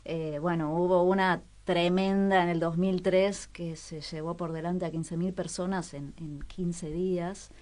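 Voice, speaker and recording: A woman hosting a radio culture segment, talking casually with many small pauses and fillers. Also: fairly low pitch, steady tone, darkish tone. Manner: chatty, hesitant with frequent fillers.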